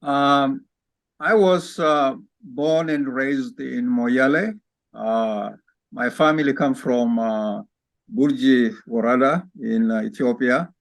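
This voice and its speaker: This is a man from Kenya, an orthopedic spine surgeon, with a noticeably gravelly, fry-tinged voice at an ordinary pitch. He tells his story in an unhurried, reflective way, heard over a video call. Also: no room sound. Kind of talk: interview, personal life story.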